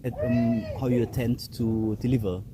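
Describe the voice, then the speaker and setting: A man's voice: medium pitch, flat delivery, measured pace, background noise. A man from Austria, an interview guest, answering in a hesitant way with many fillers. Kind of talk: casual interview.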